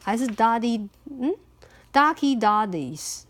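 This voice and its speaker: A woman's voice: on the high side, expressive, slow pace. A native Mandarin-speaking woman reading a picture book aloud expressively, with chatty asides as a parent would. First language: Mandarin Chinese.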